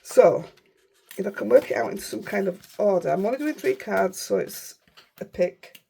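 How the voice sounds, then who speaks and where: A middle-aged woman with a Yorkshire accent, hoarse and unwell, chatting through a casual crafting tutorial in a croaky voice and rambling as she goes. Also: medium pitch, expressive, moderate pace, dark tone. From Yorkshire, England. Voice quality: croaky, rough voice. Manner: chatty, rambling, self-interrupting. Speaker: craft channel host.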